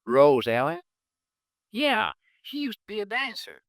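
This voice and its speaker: Informant, folksy, rambling, very lively pitch, smooth tone, 1950s radio. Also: male voice, a little slow, no echo, clean studio sound, heavily acted. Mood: casual. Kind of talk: 1950s radio drama acting.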